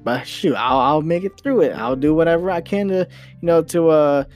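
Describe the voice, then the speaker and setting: A man's voice: on the high side, moderate pace, a little mellow, faint music behind. A young man hosting a solo podcast, ranting casually with many restarts and mild swearing. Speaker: podcast host.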